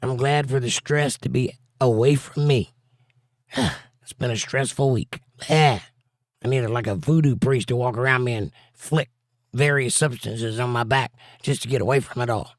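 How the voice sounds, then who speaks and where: A man hosting a casual livestream, reading viewer comments aloud with jokes and silly bits, his voice a little hoarse and scratchy. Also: medium pitch, moderate pace, no echo, studio quality. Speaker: livestream host reading chat.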